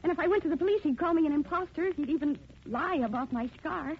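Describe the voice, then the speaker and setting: An actress playing a determined, wronged young daughter in earnest, dramatic 1940s radio style. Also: female voice, high voice, fast talker. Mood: earnest, resolute. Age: young adult. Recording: muffled, noisy 1940s radio recording.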